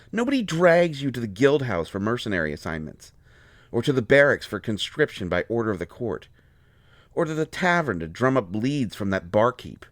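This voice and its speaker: A man playing an indignant, hurt healer mage in a fantasy roleplay audio, expressive, sarcastic and exasperated. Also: lowish voice, dry recording. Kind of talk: dramatic roleplay audio acting.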